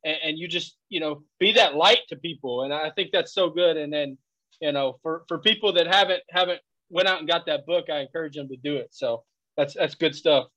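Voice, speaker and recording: A man's voice: on the high side, a little fast, bright, forceful tone, low-quality recording. A man co-hosting a casual podcast, enthusiastic and stammering through repeated words. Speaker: podcast co-host.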